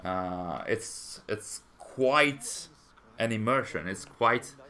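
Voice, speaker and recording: A man with a fairly low voice and a bright, forceful tone hosts a live fan stream. He talks in a loose, rambling way, pausing often as he answers viewers' comments. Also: expressive. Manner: rambling, hesitant, conversational. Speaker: fan channel stream host.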